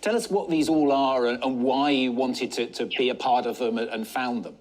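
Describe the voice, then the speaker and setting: A male television presenter with a slightly husky voice, mid-pitched and polished. He introduces his guest in an even broadcast manner and puts leading questions to her. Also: moderate pace. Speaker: TV news presenter. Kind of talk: broadcast interview.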